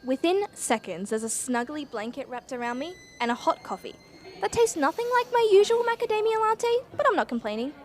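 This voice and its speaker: Curious, high-voiced young Australian time traveller. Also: female voice, big pitch swings, a little fast. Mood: puzzled, confiding. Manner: chatty, wide-eyed, informal. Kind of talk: radio play acting, breathless first-person narration.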